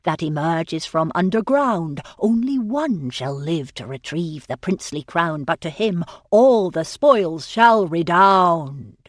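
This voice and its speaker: A man's voice: fairly high pitch, animated, slow pace, hardly any pauses, no echo, studio quality. A woman doing a growling showman medium. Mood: ominous. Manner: dramatic, growling pronouncements. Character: theatrical male spirit-writing medium, charlatan.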